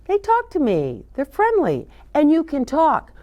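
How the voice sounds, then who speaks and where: Female children's storyteller: unhurried, very expressive, wide swings in pitch, speaking directly to little ones with questions. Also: lo-fi sound. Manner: animated, sing-song, addresses children with questions. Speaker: children's storyteller and show host. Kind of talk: read-aloud and chat for young children.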